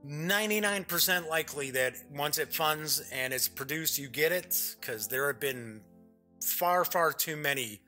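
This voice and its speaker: A man's voice: fairly high pitch, lively intonation, measured pace, sharp tone, soft background music. A man hosting an online gaming show, talking casually to viewers in a folksy, chatty, rambling way.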